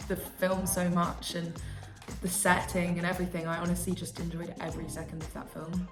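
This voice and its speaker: A woman's voice: lowish voice, completely flat delivery, brisk. A young woman chats eagerly about a film she loved. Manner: enthusiastic, chatty. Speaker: podcast guest. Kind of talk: casual film chat. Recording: roomy room sound. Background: music.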